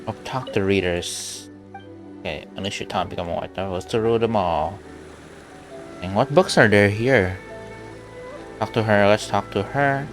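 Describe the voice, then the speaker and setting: A man's voice: lowish voice, normal speed, long pauses, soft background music. A man giving casual livestream game commentary in brief, musing asides.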